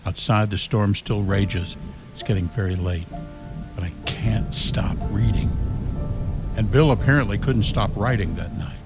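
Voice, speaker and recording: A troubled, brooding narrator, played by a man in a radio horror play. He speaks slowly in a fairly low voice, weighting his words with dread. Music plays clearly behind him. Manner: dramatic, deliberate. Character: troubled man reading an old diary, full of dread. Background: music, thunderstorm and rain.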